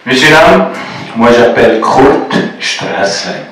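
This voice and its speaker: A man with a Swiss German accent, French not his first language, giving a measured, prepared speech at a formal ceremony as a postal official. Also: medium pitch, expressive, a bit bright, slight background noise. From German-speaking Switzerland. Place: large echoey reception room. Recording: echoey room, speaker far from the mic.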